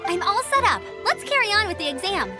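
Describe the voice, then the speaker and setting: A woman's voice: very high-pitched, big pitch swings, brisk. A woman voicing a perky, eager young female gliding instructor in a video game, bubbly and encouraging. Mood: eager, upbeat. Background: game music.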